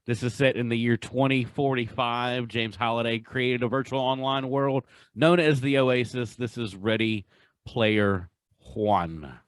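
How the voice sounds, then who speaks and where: A man's voice: mid-range voice, fairly even intonation, unhurried, clear, resonant tone, dry recording. A man in his forties chatting casually as a podcast host, gushing and often repeating himself.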